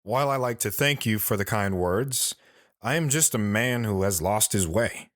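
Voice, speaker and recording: A man playing a sorrowful, philosophical lone wanderer in an audio drama, speaking earnestly and deliberately. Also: fairly low pitch, animated, a bit bright, no room sound, clean studio sound. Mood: brooding.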